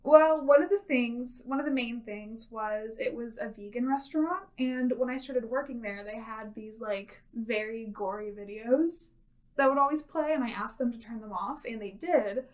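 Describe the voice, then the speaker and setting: A woman's voice: a little high, normal speed, darkish tone, distant mic. A woman telling a casual personal anecdote as an interview guest, recorded a little away from the mic. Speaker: interview guest, staff member.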